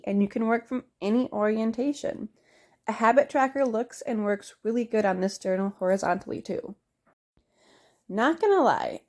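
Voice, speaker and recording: A young woman narrating a journaling video in a chatty, upbeat voice-over, slightly hoarse from a cold. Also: mid-range voice, darkish tone. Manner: chatty, upbeat, talks straight to viewers. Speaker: bullet journal vlogger.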